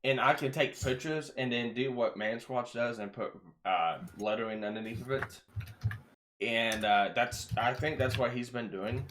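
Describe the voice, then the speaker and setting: A man's voice: medium pitch, moderate pace, background noise. A man hosting a casual live stream, speaking hesitantly with fillers and reading out viewer comments. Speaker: show host.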